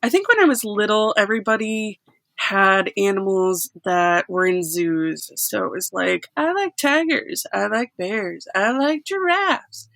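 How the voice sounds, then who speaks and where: A woman's voice: medium pitch, lively intonation, measured pace, vocal fry, fairly bright tone, no room sound. A woman who edits sound effects, chatting casually and animatedly with frequent laughter. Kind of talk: casual chat.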